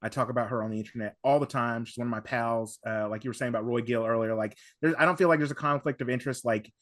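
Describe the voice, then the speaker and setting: A man's voice: medium pitch, steady tone, fast, fairly bright tone, dry recording, studio quality. Effusive man chatting on a podcast. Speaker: podcast guest. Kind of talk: casual podcast chat, recommendations.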